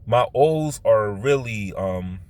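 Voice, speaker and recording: A man with a Philadelphia accent and heavily stressed O's, telling personal stories in a rambling, halting way that often circles back and repeats. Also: lowish voice, lively intonation, a little mellow. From Philadelphia, United States. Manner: stresses his O vowels; halting, repetitive, circles back to his point. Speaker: vlogger.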